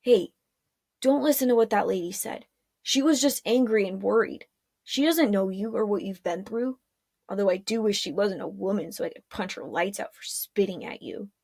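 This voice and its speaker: Young man, gruff, loyal, low and soft, woman reader, dry sound. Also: moderate pace, no echo. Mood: comforting.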